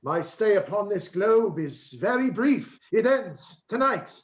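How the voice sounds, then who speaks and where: Muffled, roomy call with music behind: male actor as dying Christmas spirit, high, slow, solemn and foreboding. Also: very animated. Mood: urgent, solemn. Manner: grave, declamatory, foreboding. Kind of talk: radio play acting, live reading.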